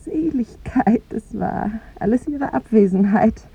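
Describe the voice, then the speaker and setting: A woman's voice: a little high, lively intonation, measured pace, gravelly, warm tone, breathy edge, full character voice. An actress playing a tender, lovestruck young woman in a 1950s German radio play, with wistful, lyrical phrasing that often sinks to a whisper. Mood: rapturous. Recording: muffled, phone-like 1950s radio recording.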